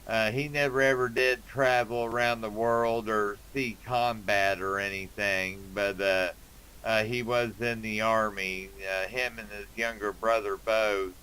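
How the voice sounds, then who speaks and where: Man, lowish pitch, very slow, hesitant, casual radio chat. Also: steady tone, sharp tone, slight background noise, rough recording. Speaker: amateur radio operator. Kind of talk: casual ham radio conversation.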